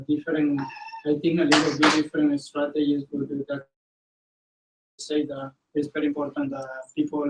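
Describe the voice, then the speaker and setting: A man from Honduras giving a comment from the floor as an audience member, talking haltingly and searching for words. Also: a little high, darkish tone. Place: university classroom. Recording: echoey room, distant mic, faint noise.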